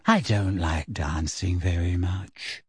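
A dreamy, odd young witch girl from a storybook, acted by a male narrator. The voice drifts along low and vague, in a slow, thoughtful way that states blunt things plainly. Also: a little mellow, breathy edge, no echo, clean studio sound, exaggerated voice. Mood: dreamy, detached.

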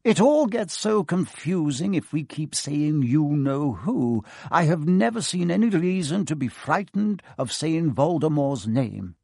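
A man voicing a gentle, calm elderly wizard headmaster who speaks in a slow, measured way. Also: a little high, creaky, no room sound, studio quality, heavily acted. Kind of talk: audiobook character voice.

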